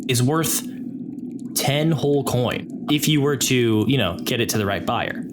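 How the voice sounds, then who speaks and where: A man working as game master, narrating an improvised tabletop game in a measured, deliberate way. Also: mid-range voice, animated, noisy background. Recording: prominent music bed behind the voice. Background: loud background music.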